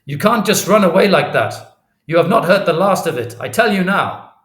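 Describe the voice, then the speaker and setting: A man's voice: mid-range voice, expressive, moderate pace, echoey room, natural voice. A man voicing a small, humble working father in an audiobook, speaking with heavily stressed, morally indignant words. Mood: angry, calling out. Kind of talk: audiobook character voice.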